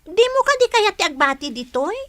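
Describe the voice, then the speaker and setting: A woman's voice: extremely high pitch, sing-song, brisk, fairly bright tone, dry recording, full character voice. A woman playing an inquisitive young girl, a gossipy playmate, in a radio drama with a childlike manner.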